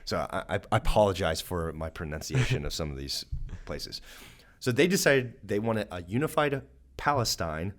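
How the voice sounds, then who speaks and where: A man's voice: low voice, normal speed. A man from Georgia with a Southern accent, co-hosting a church podcast and casually explaining history. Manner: animated, acts out voices of nations jokingly. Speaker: podcast co-host.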